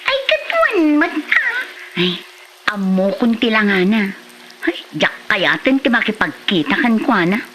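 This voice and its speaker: A woman playing an expressive, fussy young woman in an Ilocano comedy drama, with an Ilocano accent. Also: medium pitch, a little slow, a little hiss or hum, low-quality recording. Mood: dismayed.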